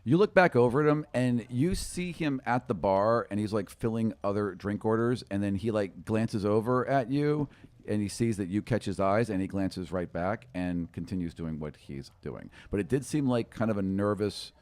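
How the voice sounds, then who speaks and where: A man's voice: fairly low pitch, animated, normal speed, darkish tone, no room sound. A middle-aged man casually narrating a tabletop game as game master, descriptive, on a close studio mic. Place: small indoor studio room. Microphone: close studio podcast mic with windscreen.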